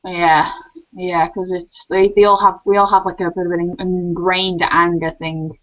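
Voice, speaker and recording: A woman's voice: on the low side, little pitch movement, moderate pace. A young woman with a watered-down Scouse accent, chatting casually as a guest and rambling with lots of ums and restarts. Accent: mild Scouse. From Liverpool, England. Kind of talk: casual chat. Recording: dull lo-fi sound.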